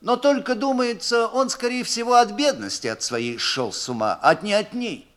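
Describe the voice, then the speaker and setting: Man acting a high-pitched gruff peasant lad. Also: expressive, normal speed, fairly bright tone. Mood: stubborn. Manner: blunt, rustic, grumbling. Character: gruff young peasant coach driver lad, stubborn and sullen.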